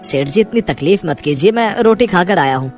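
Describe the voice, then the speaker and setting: A man's voice: high voice, expressive, normal speed, faint music behind. A man playing a poor, kindly rickshaw-puller father in a cartoon moral story, polite and reassuring. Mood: humble.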